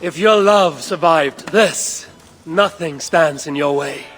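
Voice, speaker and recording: An actor playing a blunt, hot-headed male Viking warrior in a video game cutscene, husky and dryly terse. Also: fairly high pitch, slow, fairly bright tone, soft background music. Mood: reciting.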